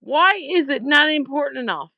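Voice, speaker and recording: A woman's voice: high voice, animated, moderate pace, gravelly, no echo. A woman leaving an informal complaint voicemail over the phone, speaking in a blunt, aggrieved and emphatic way, with dull lo-fi sound. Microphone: phone call.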